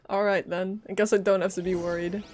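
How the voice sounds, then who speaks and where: A woman's voice: medium pitch, darkish tone. A woman livestreaming a game, casually commenting, joking to herself and reading dialogue aloud in a playful way. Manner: playful, joking, muttering to self.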